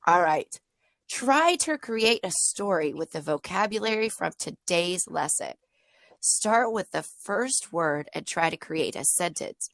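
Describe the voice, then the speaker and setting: Slow, gravelly woman tutoring a child. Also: lowish voice, animated, a bit bright, no echo, rough recording. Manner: slow, clear, modeling sentences aloud. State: slightly hoarse, losing her voice. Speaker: online English tutor for a child. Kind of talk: patient teaching over a video lesson.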